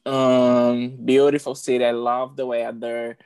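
A drag performer from Brazil with a Brazilian Portuguese accent, native Portuguese speaker, chatting as a guest with bubbly, halting phrasing. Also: female voice, very deep voice, little pitch movement, darkish tone, clear, resonant tone. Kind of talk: casual interview. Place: New York, remote call.

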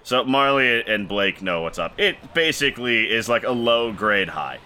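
A man narrates as game master of a tabletop game, bright and crisp in tone. He talks quickly and playfully, slipping into side characters' voices. A faint hiss sits under the recording. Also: mid-range voice, expressive.

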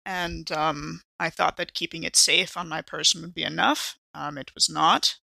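A woman speaks with a bright, edged voice and noticeable vocal fry. She is a player in a tabletop role-playing game, improvising conversationally in character. The recording is dry and close. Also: low voice, fairly even intonation, normal speed, dry recording.

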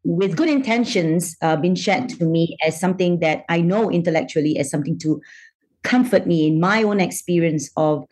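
A middle-aged woman from Malaysia, a psychiatrist answering interview questions in a measured, thoughtful way with frequent pauses. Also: lowish voice, a little mellow. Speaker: psychiatrist guest.

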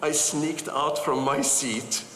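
An older man from Palestine telling personal stories to an audience with earnest, animated delivery and frequent pauses. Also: a little high, a little hiss or hum. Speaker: speaker. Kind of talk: personal storytelling talk. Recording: echoey hall with light ambience.

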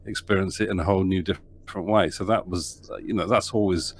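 A man's voice: deep voice, steady tone, a little fast, a little hiss or hum. A man who produces audio dramas, talking through his process in a casual podcast interview, rambling with frequent ums and restarts.

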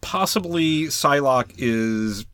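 A man's voice: medium pitch, expressive, takes it slowly, fairly bright tone, no room sound. A man co-hosting a podcast, casually discussing comics with precise explanations and a mock-formal wit. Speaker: podcast co-host.

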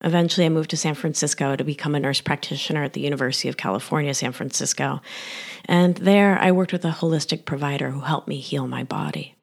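A woman in her forties, born in Argentina, telling her own life story in slow, reflective scripted narration that often trails off into soft whispers. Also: low-pitched, fairly even intonation, creaky. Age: 40s. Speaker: health practitioner.

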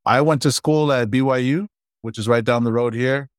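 A man in his forties from Hawaii, a startup founder chatting casually and warmly about his life as an interview guest. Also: mid-range voice, steady tone, normal speed, no echo, studio quality.

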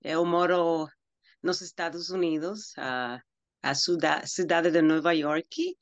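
A woman's voice: low-pitched, steady tone, slow, dry recording. A woman from the Dominican Republic whose first language is Spanish speaks as an interview guest. She talks hesitantly and searches for words as a learner. The recording is dry and a little dull. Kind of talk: casual interview.

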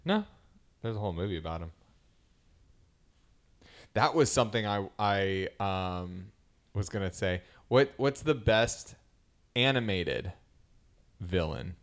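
A man in his thirties with a fairly low voice, talking casually on a podcast. He gets enthusiastic and laughs easily as he tells a story. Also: expressive, takes it slowly, long pauses. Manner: enthusiastic, laughs often while retelling scenes. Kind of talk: casual chat.

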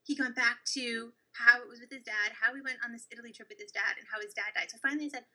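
Young woman co-host, animated storyteller, quick delivery, slightly high voice. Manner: animated, acts out quoted lines. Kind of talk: casual storytelling chat.

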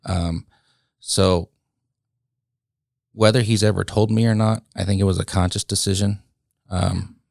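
A man in his late thirties, a guest in a casual interview, speaking reflectively and haltingly with many pauses and restarts. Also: low voice, steady tone, vocal fry, a little mellow, dry recording, studio quality. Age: late 30s.

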